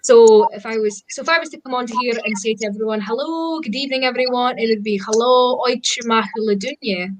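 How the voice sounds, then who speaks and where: A woman's voice: fairly high pitch, brisk, hardly any pauses, poor audio. Scottish woman, native Gaelic speaker, cheerful guest, laughs easily, keen to explain words. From Scotland. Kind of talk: casual video call chat.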